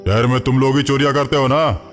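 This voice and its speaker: A man's voice: mid-range voice, fairly even intonation, fast, long reverb tail, lightly acted. A man voicing a bullying police inspector in a cartoon story, scolding and threatening as he interrogates. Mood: scornful. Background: background music.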